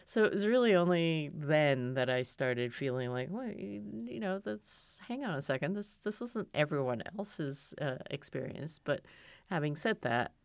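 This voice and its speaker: A woman's voice: deep voice, expressive, moderate pace, clear, resonant tone, dry recording. A middle-aged woman from Japan, a foundation president, talking in a thoughtful, conversational way with plenty of fillers as an interview guest. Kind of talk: casual interview talk.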